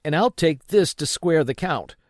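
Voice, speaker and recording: Man acting anxious young boy, adventure storybook, raised pitch, urgent, emphatic, lively. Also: normal speed, no echo, studio quality, natural voice. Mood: decisive.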